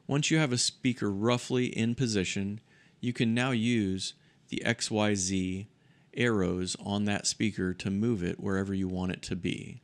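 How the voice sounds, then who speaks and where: A man presenting a software tutorial, explaining methodically in step-by-step instructional narration. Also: on the low side. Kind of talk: instructional screen-share narration.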